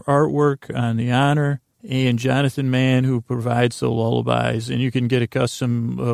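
A man hosting a podcast, talking in a meandering, halting way and drifting into a slow, lulling bedtime monologue. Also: mid-range voice, gravelly, darkish tone, no echo, studio quality. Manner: meandering, halting, with restarts and filler words; slow drowsy lulling delivery. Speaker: podcast host.

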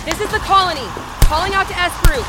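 A woman's voice: very high voice, fast, low-quality recording. A woman playing a capable, protective fighter in a zombie apocalypse audio drama. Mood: focused, commanding. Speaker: radio operator for a survivor colony. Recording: loud music and gunfire behind.

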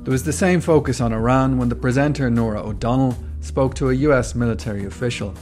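A man hosting a podcast with scripted narration, measured and formally worded. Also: medium pitch, a little mellow, soft background music. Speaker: podcast host.

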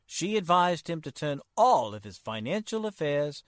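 A man playing a polite, hesitant, worried nephew in 1940s radio drama style, earnest and formal, halting as he speaks. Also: fairly high pitch, big pitch swings, no echo, clean studio sound. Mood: troubled.

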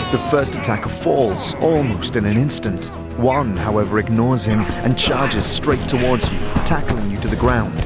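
A man narrating a fantasy audio drama with measured, theatrical storytelling in a dramatic scripted style. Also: medium pitch. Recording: prominent music behind the narration.

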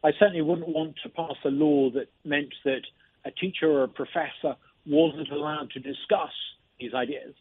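Male guest, husky, slightly higher voice, tinny phone line, measured with frequent restarts. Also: fairly even intonation, no room sound. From not from Mississippi. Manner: measured, repeats words when starting sentences. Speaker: interview guest, state lawmaker. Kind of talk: phone interview.

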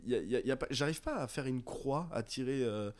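A young man from the south of France chats at a very quick clip. His talk is slangy and rambling, full of loose turns, as in a relaxed podcast between friends. His pitch sits in a middle male range. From south of France (Marseille area). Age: 20s. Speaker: podcast co-host. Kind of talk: casual conversation.

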